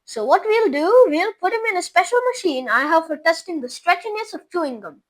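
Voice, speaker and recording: A woman voicing an eccentric, confident male candy maker in a storybook reading, brisk and offhand. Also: very high-pitched, animated, voice pushed up. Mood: breezy.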